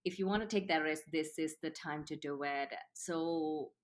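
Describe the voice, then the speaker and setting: A woman in her thirties from Sri Lanka, answering interview questions in a measured, thoughtful way with frequent "uh" pauses. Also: low-pitched. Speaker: company founder, guest.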